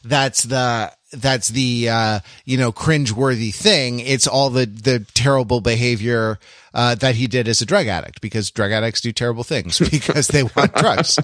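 A man in a casual, analytical podcast discussion, speaking haltingly as he thinks aloud and qualifies himself. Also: mid-range voice, fairly bright tone, no echo, clean studio sound. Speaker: podcast panelist.

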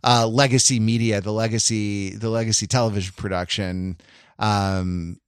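A man's voice: lowish voice, steady tone, normal speed, clean tone, no room sound, clean studio sound. An American man in a casual pop culture podcast discussion, talking in a digressive, discursive way and often trailing off mid-thought. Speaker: podcast panelist.

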